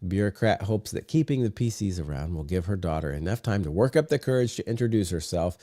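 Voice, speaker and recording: Congested, low-voiced male podcast host. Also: fast talker, no echo, studio quality. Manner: relaxed, many filler "uh"s. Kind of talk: casual podcast chat and reading aloud.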